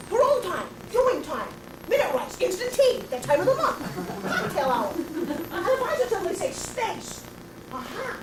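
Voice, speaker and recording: A woman playing an eccentric, brash homeless bag lady with a raspy voice, who talks straight at the passersby in a comic stage monologue. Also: very high-pitched, reverberant, recorded from a distance, heavy background noise. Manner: confrontational, addresses passersby directly. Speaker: actress performing a stage monologue.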